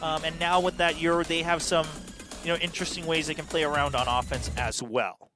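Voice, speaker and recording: A man casting a competitive match in a slightly high, chatty voice, breaking down plays and laughing now and then. Loud game music runs under his talk. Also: steady tone, a little fast. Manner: chatty, analytical, laughs often. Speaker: esports caster. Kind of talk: live esports commentary.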